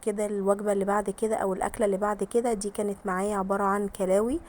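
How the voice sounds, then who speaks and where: A woman with an Egyptian Arabic accent, hoarse from a cold, chatting to viewers in a home cooking vlog voice-over and sprinkling in blessings. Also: mid-range voice, flat delivery, rapid-fire, a little mellow, a little hiss or hum. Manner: chatty, addresses viewers directly with frequent blessings.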